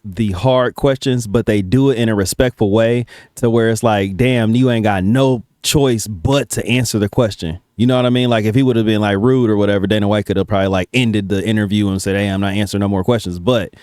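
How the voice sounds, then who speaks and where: Male reaction host, lowish dry voice, casual slang, salty language, loose and halting phrasing. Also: fairly even intonation, darkish tone, smooth, dry recording. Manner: hesitant starts, slangy, profane.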